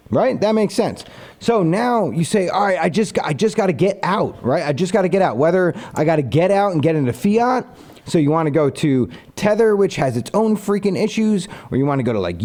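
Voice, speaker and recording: A young man on a casual live stream, pitching an idea to his viewers in an animated, rambling way and answering their comments. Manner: reads viewer comments aloud and answers them.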